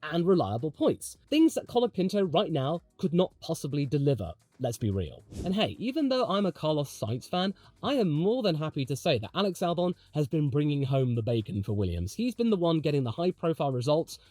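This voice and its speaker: A man's voice: fairly high pitch, sing-song, a little fast, hardly any pauses, warm tone, dry recording. A man delivering opinionated motorsport video commentary in an emphatic, conversational way. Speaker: motorsport commentator, channel host.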